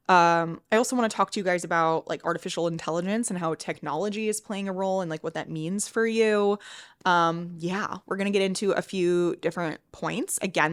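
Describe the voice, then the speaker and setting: A woman's voice: fairly low pitch, fairly bright tone. A woman in her thirties hosting a solo podcast, talking in a chatty way with lots of restarts, sniffly from a cold. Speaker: podcast host and fitness coach.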